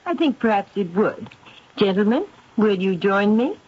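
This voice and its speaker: Radio drama casting: intense aristocratic séance hostess, formal and portentous, dramatically varied pitch, dull vintage sound. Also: female voice, sing-song, moderate pace, a little mellow, husky. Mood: gracious. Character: intense aristocratic lady spiritualist, solemn believer in malignant ghosts. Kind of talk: 1970s radio drama acting.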